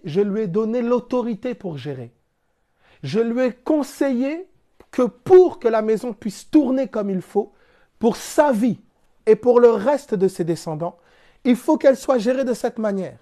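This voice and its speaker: A man's voice: very high voice, warm tone. A man from France giving a spiritual teaching talk, explaining through everyday examples and rhetorical questions in a plainspoken, casual way. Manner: plainspoken, casual turns of phrase.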